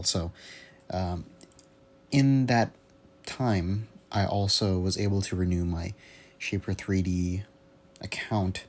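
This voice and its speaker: A young man with a low-pitched voice talks off the cuff in a casual audio diary. He rambles along haltingly, often searching for the right word. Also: a little mellow. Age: young adult. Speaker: hobbyist vlogger. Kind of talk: casual unscripted audio log.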